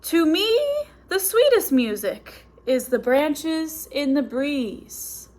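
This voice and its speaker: A woman voicing a kindly, wise little storybook cricket who encourages in a gentle, unhurried lilt. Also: high-pitched, very animated, exaggerated voice. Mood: gently encouraging.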